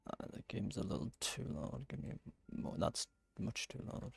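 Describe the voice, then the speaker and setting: A man with a gravelly, husky voice giving casual livestream game commentary into a close microphone. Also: on the low side, lively intonation, normal speed, no echo. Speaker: game streamer. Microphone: close streaming microphone.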